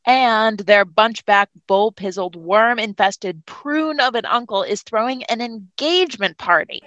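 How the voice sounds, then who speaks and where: A woman's voice: a little high, unhurried, a bit bright, dry recording, dull audio. A woman hosting a tabletop game podcast as game master in a playful, theatrical, jokey way.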